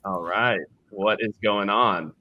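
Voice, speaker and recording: A man's voice: on the low side, slow, lo-fi sound. An American man hosting a casual livestream chat, talking informally and repeating phrases for emphasis. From Kansas City, United States. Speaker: host.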